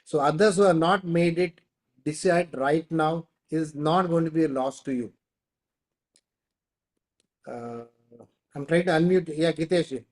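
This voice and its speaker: A man's voice: on the high side, unhurried, pauses often, darkish tone. A man with an Indian accent hosting an online class, explaining and answering questions, often repeating himself. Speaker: host of an online training class. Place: online video call.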